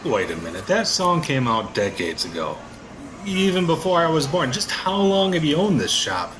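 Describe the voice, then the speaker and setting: A man's voice: fairly high pitch, big pitch swings, normal speed, background noise. A man with an American accent playing a skeptical, sardonic ordinary husband in an audio drama, hesitant but curious, with wry colloquial delivery. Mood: skeptical, humoring. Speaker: actor in an audio play. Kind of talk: audio drama acting in casual American talk.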